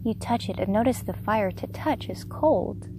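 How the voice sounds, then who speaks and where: A woman leading a guided meditation in a gentle, unhurried, soft-spoken way. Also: medium pitch, lively intonation, background noise. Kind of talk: guided meditation, slow soothing narration.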